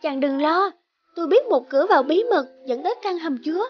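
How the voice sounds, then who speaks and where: A woman voicing a kind, brave young peasant girl in a cartoon fairy tale, earnest and gentle. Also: very high voice, animated, brisk, highs cut off. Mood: cautioning.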